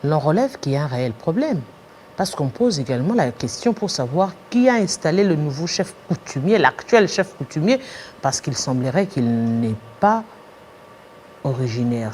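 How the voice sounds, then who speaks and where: A woman's voice: deep voice, lively intonation, normal speed, darkish tone, a little hiss or hum. A woman hosting a TV interview, assertive, pressing her guest and insisting on finishing her point. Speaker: TV talk show host.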